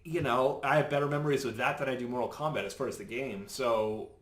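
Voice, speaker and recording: A man's voice: mid-range voice, steady tone, fast talker, fairly bright tone. A man on a podcast panel, giving his opinions in casual conversation. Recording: roomy, echoing room sound.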